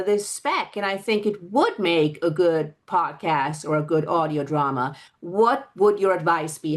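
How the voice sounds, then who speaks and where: A woman interviewer putting questions to a guest in a conversational style. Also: medium pitch. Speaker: interviewer, host.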